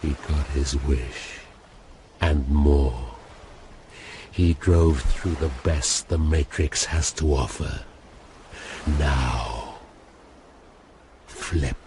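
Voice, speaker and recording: A super deep ai being, dark mellow tone, slow and grave, radio play. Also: male voice, a little hiss or hum, exaggerated voice. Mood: calm. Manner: solemn, deliberate. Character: grave, resigned, all-knowing male artificial intelligence. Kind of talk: radio drama acting.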